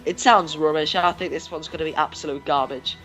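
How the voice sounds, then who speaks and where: A man's voice: fairly high pitch, steady tone, a little fast, a bit bright, faint music behind. Young male fan, casual chat. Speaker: podcast co-host or guest.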